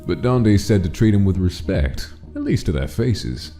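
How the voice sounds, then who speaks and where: A man's voice: low voice, big pitch swings, normal speed, warm tone, faint music behind. A man performing as the narrator of a comic dub, reading a mafia monster tale as scripted dramatic story narration. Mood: measured, ominous.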